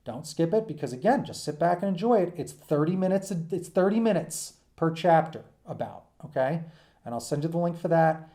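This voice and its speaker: A man lectures informally, as a teacher would to a class. His voice is mellow, without any hard edge. He rambles through his points and keeps stopping to check that listeners follow. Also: a little high, lively intonation, fairly quick. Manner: rambling, frequent check-ins like "okay?" and "right?". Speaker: teacher giving an assignment. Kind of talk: informal lecture to students, off the cuff.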